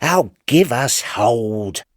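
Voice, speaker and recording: A man playing an uneasy but stubborn working shipwright in a storybook reading, with a blunt, halting manner. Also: medium pitch, lively intonation, dry recording, studio quality, voice pushed up. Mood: blunt, wary.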